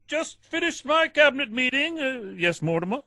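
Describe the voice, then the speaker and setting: A deluded, boisterous gentleman who carries himself as a president. The voice is bright and forceful, swooping wildly in pitch, with theatrical radio comedy pomp. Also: sing-song, normal speed, dry recording, studio quality, heavily acted. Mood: pompous, eager. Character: deluded nephew who believes he is President Roosevelt.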